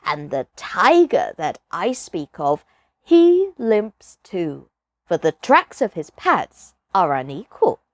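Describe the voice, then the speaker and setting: A woman's voice: fairly low pitch, huge pitch swings, slow pace, dry recording, clean studio sound, full character voice. A woman voicing a pompous, emphatic old village hunter in a storybook tale. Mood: boastful.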